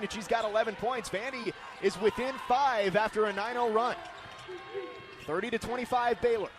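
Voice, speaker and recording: A man calling live sports play-by-play in punchy, crisp announcer phrasing. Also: high voice.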